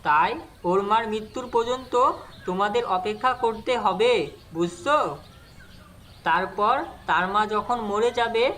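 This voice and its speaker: A man's voice: high voice, a bit bright, a little hiss or hum. A man voicing a greedy, two-faced young husband in a cartoon fairy tale, persuasive and explanatory. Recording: music under the voice. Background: background music.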